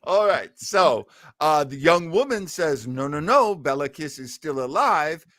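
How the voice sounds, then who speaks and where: A man's voice: on the high side, very animated, a little slow, fairly bright tone, dry recording, studio quality. A man hosting a show, chatting casually. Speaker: show host. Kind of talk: casual talk-show chat.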